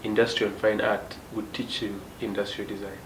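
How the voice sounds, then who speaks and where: A man's voice: fairly low pitch, flat delivery, brisk. A man hosting a TV interview show, asking probing follow-up questions. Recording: echoey studio with background noise.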